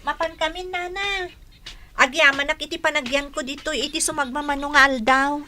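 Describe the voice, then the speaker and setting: High, bright young female friend acted by a woman. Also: normal speed, slight background noise. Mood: grateful. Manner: conversational, expressive. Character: inquisitive, sympathetic young female friend and confidante. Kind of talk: Ilocano radio drama acting.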